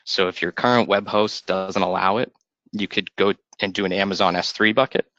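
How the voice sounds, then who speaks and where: A man walking listeners through a software installation in a steady, instructive way over a web call. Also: sits low, a little fast, dry recording. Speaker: software consultant giving a demo. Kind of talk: screen-share installation walkthrough. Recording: dry, dull lo-fi audio.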